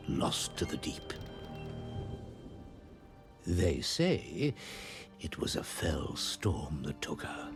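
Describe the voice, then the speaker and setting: A low, gravelly storyteller of the sea, grave and portentous. His theatrical delivery swings widely in pitch, as in a game cinematic. Clear music plays behind him. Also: heavily acted. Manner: portentous, dramatic, deliberate. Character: grave, pleading male storyteller of sea legends. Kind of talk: theatrical scripted monologue.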